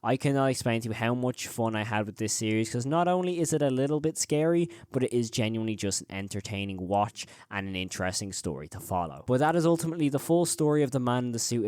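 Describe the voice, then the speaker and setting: A man's voice: mid-range voice, expressive, quick pace, hardly any pauses, darkish tone, no echo, clean studio sound. A man presenting a scripted video essay as the host of a horror review channel.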